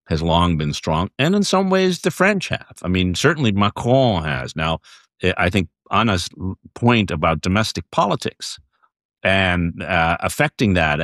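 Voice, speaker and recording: An American man moderating a panel discussion as a former diplomat, speaking in a measured, halting way with repeated phrases. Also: low-pitched, a bit bright, dry recording, studio quality.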